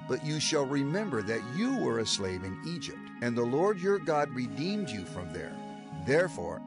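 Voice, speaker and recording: An actor playing a solemn, commanding old male lawgiver in a dramatized scripture reading, with measured declamation. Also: medium pitch. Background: background music.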